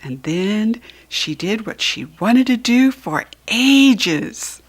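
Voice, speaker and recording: Slow, very lively American woman reading a storybook. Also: a little high. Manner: expressive, deliberate teaching delivery with asides. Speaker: storybook reader. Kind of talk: read-aloud children's picture book narration.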